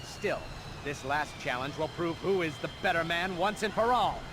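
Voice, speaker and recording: A man's voice: fairly high pitch, lively intonation, moderate pace, noisy background, lightly acted. An actor playing a vain, bitter, theatrical male villain in a video game, with grandiose announcer-like delivery. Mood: smug, defensive.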